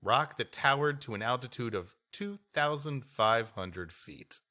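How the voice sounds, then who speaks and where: A man's voice: medium pitch, lively intonation, a little slow, sharp tone, soft background music. A man co-hosting a comedy podcast, moving between scripted storytelling and bantering chat.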